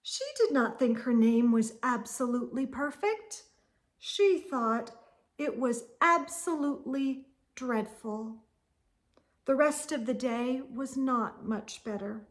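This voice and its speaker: A woman reading a children's picture book aloud in an expressive, theatrical way, lingering on words. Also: fairly high pitch, slow. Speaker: storytime reader.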